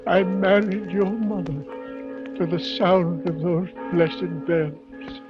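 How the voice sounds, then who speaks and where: An actor playing a frail, sentimental old father near death, speaking haltingly in a weak voice, in a 1940s radio drama. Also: high voice, steady tone. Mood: rapturous nostalgia. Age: older. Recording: muffled vintage radio broadcast, faint music.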